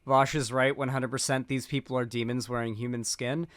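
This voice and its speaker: A man's voice: medium pitch, little pitch movement, brisk, a bit bright, dry recording. A young man in casual streamed political commentary, rambling and sarcastic, full of fillers and restarts.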